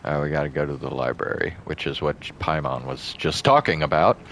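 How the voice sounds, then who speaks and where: A young man streaming a game, talking casually in a low voice with vocal fry, laid-back and mumbling with swearing. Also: brisk, fairly bright tone, a little hiss or hum, low-quality recording. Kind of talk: casual gaming stream chat.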